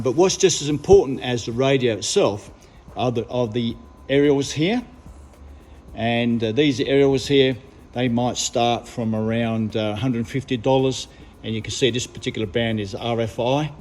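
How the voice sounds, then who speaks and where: A man's voice: mid-range voice, a little mellow. A middle-aged man, a tour guide, casually explaining car radios and aerials in a radio shop and workshop, with many ums and uhs. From Australia.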